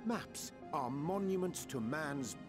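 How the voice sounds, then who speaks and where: Measured, courteous scholar with archaic speech. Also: male voice, on the high side, animated, music in the background. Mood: solemn, instructive. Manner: measured, formal, eloquent. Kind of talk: fantasy video game cutscene acting.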